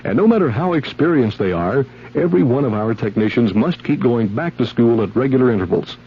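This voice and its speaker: A man's voice: mid-range voice, expressive, a little fast, husky. An American man reading a scripted 1970s radio commercial as the announcer. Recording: dull old radio recording.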